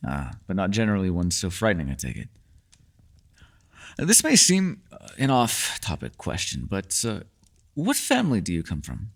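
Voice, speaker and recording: Man acting as old male elf, fairly deep voice, hugely varied melody, teasing, formal old-world phrasing, secretive, fantasy roleplay audio. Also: wildly swooping pitch, moderate pace, vocal fry, long pauses, heavily acted. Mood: thoughtful, reassuring.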